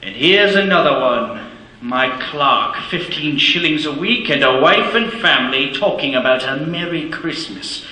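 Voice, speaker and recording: An actor playing a bitter, stingy old miser who snaps and sneers, in a live stage radio play. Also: male voice, a little high, lively intonation, unhurried, bright, forceful tone, full character voice. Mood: curt. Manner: snapping, sneering, clipped. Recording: echoey theater stage.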